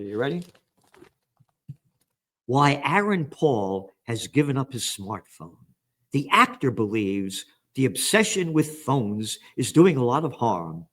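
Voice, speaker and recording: Angry profane older man ranting. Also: on the high side. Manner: angry, profane, sarcastic, swings from mutters to shouts. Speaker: trends commentator and host. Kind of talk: ranting news commentary read from headlines.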